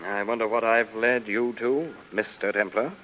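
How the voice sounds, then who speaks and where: A man's voice: on the low side, fairly even intonation, moderate pace, bright, forceful tone. An actor playing an indignant, self-important wealthy businessman, brusque and officious, in 1950s radio drama style. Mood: officious, wary. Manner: blustering, stammering when flustered, officious. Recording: noisy old radio recording.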